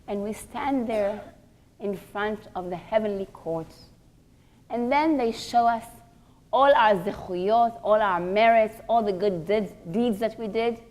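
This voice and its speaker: A woman's voice: medium pitch, darkish tone. A woman giving a religious lecture, teaching emphatically, repeating key phrases and posing rhetorical questions.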